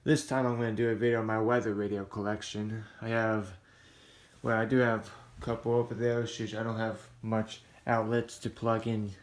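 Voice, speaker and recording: A man hosts a homemade hobby review in a fairly low voice. His pitch barely moves as he talks. He is casual and plain-spoken, feeling his way through sentences with some hesitation. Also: little pitch movement, normal speed. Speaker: hobbyist video host. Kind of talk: casual product review to camera.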